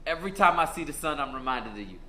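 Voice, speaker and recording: A man's voice: a little high, lively intonation, fast talker, pushed and bright. A young actor playing a conflicted teenage boy who stammers and laughs nervously, in a stage play. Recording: echoey stage, faint room noise.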